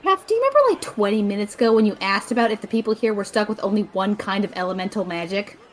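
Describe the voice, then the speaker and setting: Woman as a wry, expressive young female student. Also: mid-range voice, quick pace, natural voice. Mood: exasperated. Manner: dry, teasing. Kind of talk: audio drama acting.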